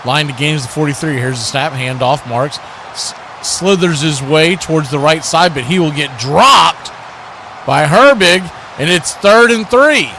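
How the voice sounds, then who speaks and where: A man's voice: fairly high pitch, unhurried, pushed and bright, a little hiss or hum. A man calling live football play-by-play in punchy short phrases with colourful action verbs. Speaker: play-by-play commentator, watch party host. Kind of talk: live American football radio-style commentary.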